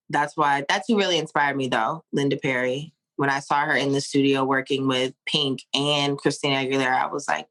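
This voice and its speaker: A woman in her thirties from Pittsburgh, a singer-songwriter answering interview questions in a relaxed, rambling way. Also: low-pitched, poor audio. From Pittsburgh, USA. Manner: relaxed, rambling with fillers and laughs.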